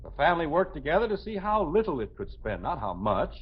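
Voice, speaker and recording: A man's voice: a little high, very animated, brisk, husky. A man with an American accent playing an exasperated, thrifty father in a 1950s radio sitcom, blustery, sarcastic and lecturing. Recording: muffled old radio recording.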